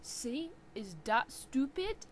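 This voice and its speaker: A woman's voice: high voice, very animated, takes it slowly, no echo, voice pushed up, full character voice. A woman voicing a defensive, petulant teenage fan fiction author who whines and mangles her words in indignant notes to readers.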